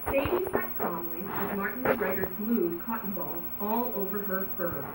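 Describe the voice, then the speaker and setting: A woman narrating a children's story aloud in an animated, dramatic way, drawing out words for emphasis. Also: mid-range voice, normal speed. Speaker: storybook reader. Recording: roomy echo, background noise.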